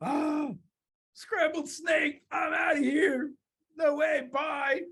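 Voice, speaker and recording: Storybook snake, acted by a storyteller: timid, high, loud and jumpy. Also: sing-song, normal speed. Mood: panicked. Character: timid snake, easily alarmed storybook creature.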